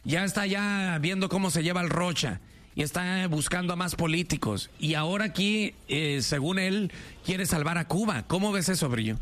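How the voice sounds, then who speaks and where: A male radio host with a fairly high-pitched voice. He runs a lively call-in debate, pushing provocative questions in quick succession.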